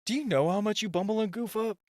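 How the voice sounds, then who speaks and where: A man's voice: sits high, a little mellow, no room sound, studio quality, lightly acted. A man playing a super-shy spy gadget guy in a romantic comedy audio roleplay, nervous and stammering, easily flustered. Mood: businesslike, exasperated. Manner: nervous, stammering, halting, self-interrupting.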